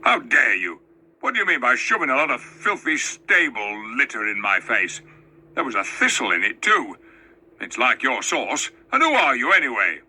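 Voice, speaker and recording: A man's voice: medium pitch, sing-song, moderate pace, pushed and bright, slightly rough, heavily acted. A man voicing a surly, suspicious, quarrelsome dwarf in a storybook reading, with scornful, indignant, colloquial speech.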